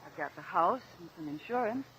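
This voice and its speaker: An actress playing a defensive wife testifying in a vintage radio courtroom drama. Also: female voice, fairly low pitch, expressive, fairly quick, a bit bright. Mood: guarded. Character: defensive, indignant wife on the witness stand. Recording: noisy old radio broadcast.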